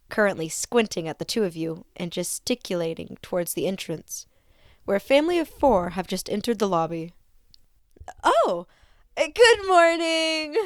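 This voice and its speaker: A woman reading fan fiction aloud as an expressive narrator. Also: medium pitch, no echo, clean studio sound.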